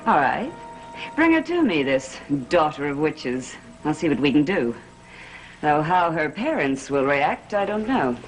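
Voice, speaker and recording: A woman's voice: low voice, very animated, a little slow, faint music behind, low-quality recording. An actress playing a stern, sardonic coven woman in a gothic soap opera, imperious and biting. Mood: sardonic, suspicious.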